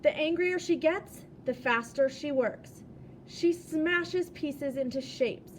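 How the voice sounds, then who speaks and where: A woman reading a children's storybook aloud in a deliberate, expressive way, stressing and drawing out key words. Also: high voice, a little hiss or hum.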